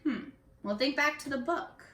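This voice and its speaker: A woman reading a picture book aloud to young children in an expressive, instructive way, speaking to them directly as a teacher. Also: high voice, moderate pace. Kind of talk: read-aloud for young children with questions to the listeners. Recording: echoey room, distant microphone.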